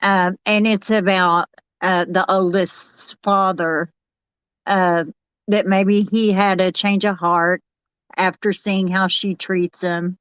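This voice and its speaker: A woman panelist in a casual discussion, reading questions and answering in a measured, tentative way. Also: medium pitch, level tone, a bit bright, clear, resonant tone, dry recording. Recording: slightly dull lo-fi sound.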